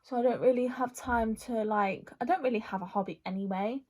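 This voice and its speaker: A woman's voice: a little high, brisk, a little mellow. A woman, chatting casually through a makeup Q&A, rambling with lots of fillers. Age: 20s. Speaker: vlogger.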